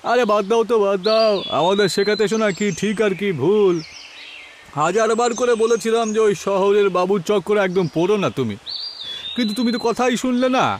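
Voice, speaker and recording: A man's voice: sits high, a little fast, a little hiss or hum, natural voice. A man voicing a mocking, scolding village neighbour in a cartoon, taunting and scornful.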